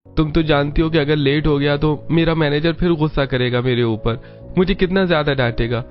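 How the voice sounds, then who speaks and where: Fields: Figure: a man voicing a gentle young husband. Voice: slightly high. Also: steady tone, normal speed, natural voice. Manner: soft-spoken, placating. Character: young office-worker husband, gentle and resigned. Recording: dull lo-fi with faint music.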